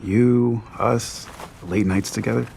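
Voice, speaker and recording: An actor playing a steady, supportive male friend in a naturalistic romantic-comedy audio drama. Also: mid-range voice, slow pace, creaky, a little hiss or hum. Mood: tender, wistful.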